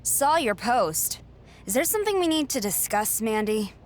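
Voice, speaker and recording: A woman's voice: a little high, sing-song, moderate pace, a little hiss or hum. A woman voicing a determined young female wrestling champion in a game cutscene, earnest and defiant. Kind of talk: video game cutscene dialogue.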